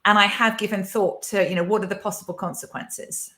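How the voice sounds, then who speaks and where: A woman's voice: mid-range voice, fairly even intonation, fairly quick, pushed and bright. Woman in her forties telling a story. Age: 40s. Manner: open storytelling with fillers and restarts. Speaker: podcast host. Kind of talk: candid conversational chat.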